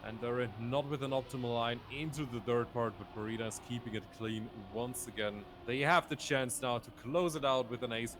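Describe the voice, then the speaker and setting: Male esports caster over clear background noise. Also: mid-range voice, normal speed, hardly any pauses, fairly bright tone. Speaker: esports play-by-play commentator. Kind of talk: live racing game commentary.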